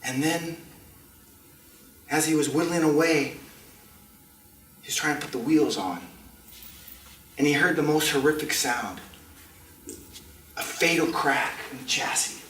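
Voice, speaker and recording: A man telling a tall tale on stage in a theatrical way, with dramatic, deliberate pauses. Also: a little high, a bit bright. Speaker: contestant in a speech club storytelling contest. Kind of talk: theatrical tall-tale storytelling to a live audience. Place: indoor community meeting room. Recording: distant mic, roomy echo, faint noise.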